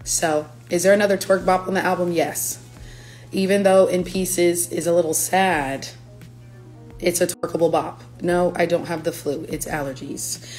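A young woman, a singer-songwriter, chatting playfully on a livestream from her living room, her voice raspy from allergies. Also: fairly low pitch, fairly even intonation, normal speed, a little mellow, soft background music, low-quality recording. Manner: chatty, playful, breaks into lyrics. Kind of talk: casual livestream chat. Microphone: phone camera at arm's length.

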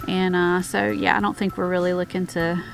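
A woman's voice: lowish voice, level tone, brisk, gravelly. 30s woman rambling about the farm, um-ing a lot, outdoors, faint music under it. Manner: rambling, many fillers and restarts. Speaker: homestead vlogger. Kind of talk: casual chat. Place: outdoors on a rural homestead.

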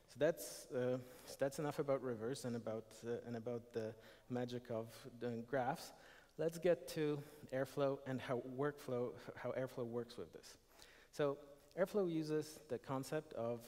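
A man's voice: medium pitch, darkish tone. Man lecturing in a reverberant hall. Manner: explanatory, frequent fillers and restarts. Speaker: conference speaker. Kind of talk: technical conference talk. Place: large lecture hall, at a podium.

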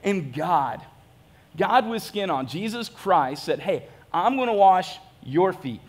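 Joking pastor preaching on a church stage. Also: male voice, high-pitched, animated, slow pace. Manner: casual, joking, self-deprecating preaching. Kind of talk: sermon.

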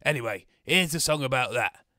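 A man playing a miserable, hard-done-by wasteland radio host in a deadpan comic monologue. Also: medium pitch, a little fast, dry recording, studio quality. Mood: glum, grumbling. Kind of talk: scripted comic radio monologue introducing songs.

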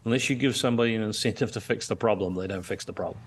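A man's voice: fairly low pitch, breathy edge. An older man joining a podcast by video call as a guest, explaining at length with frequent restarts. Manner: measured, explanatory, many restarts and fillers. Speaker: guest, tech company CEO. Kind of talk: casual interview chat. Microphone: remote video call.

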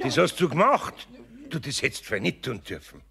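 A man playing a good-natured older master craftsman in a children's radio play, calm, mildly chiding. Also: mid-range voice, normal speed, gravelly, full character voice.

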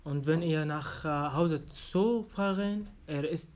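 Young syrian guy, arabic accent, slow and halting, lots of ums, a bit high, faint hum in the office. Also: darkish tone. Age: 20s. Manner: hesitant, halting, many fillers and restarts. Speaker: exam candidate. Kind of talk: simulated oral language exam. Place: office room, indoors.

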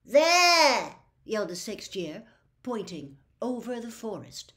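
An older woman in her sixties or beyond reading a storybook aloud with expressive, dramatic phrasing. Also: medium pitch, unhurried. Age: 60s or older. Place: small book-lined room at home.

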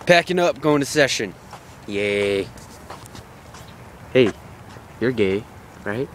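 A man's voice: medium pitch, animated, slow, slight background noise. A young man filming a fishing trip with friends, joking crudely and laughing on a handheld camera outdoors. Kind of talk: casual joking chat. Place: lakeside park outdoors, windy. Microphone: handheld phone camera.

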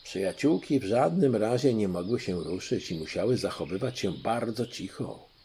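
A man narrating a children's audiobook in an expressive storytelling style. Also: lowish voice, moderate pace, slight background noise.

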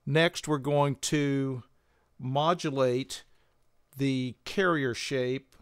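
An older man giving a measured, methodical instructional demonstration as a tutorial presenter. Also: medium pitch, smooth, no echo, clean studio sound.

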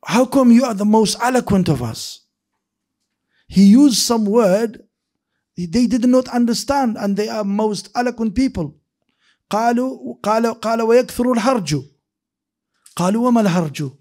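A man's voice: high-pitched, fairly quick, pauses often. A middle-aged man giving a seated hadith lesson in a mosque at a desk microphone, talking with his hands and often restarting words. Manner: animated, gestures with his hands while explaining; repeats and restarts words, mixes in Arabic phrases. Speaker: hadith teacher. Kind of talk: classroom lecture. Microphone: desk microphone on a stand.

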